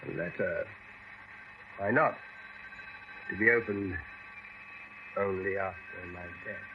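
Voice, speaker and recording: A man playing a proud, pensive academic philosopher in a radio drama, speaking in a hesitant, deliberate way. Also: low voice, steady tone. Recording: dull, slightly noisy vintage radio recording.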